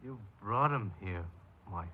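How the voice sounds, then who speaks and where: An actor playing a bitter, paranoid killer giving a halting confession in a 1950s radio crime drama. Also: male voice, on the low side, expressive. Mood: bitter menace. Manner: halting, brooding, resigned confessional delivery. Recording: dull, noisy 1950s radio recording.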